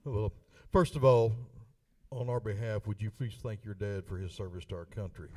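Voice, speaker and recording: A man's voice: on the low side, fairly even intonation, normal speed, warm tone, distant mic, a little hiss or hum. A man judging a youth contest, folksy and stumbling over his restarts as he asks his question. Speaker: contest judge.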